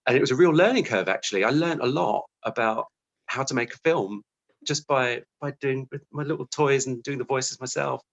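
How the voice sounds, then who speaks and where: A man's voice: mid-range voice, fairly quick, creaky, muffled recording. A British man giving chatty, self-deprecating, conversational answers as an interview guest.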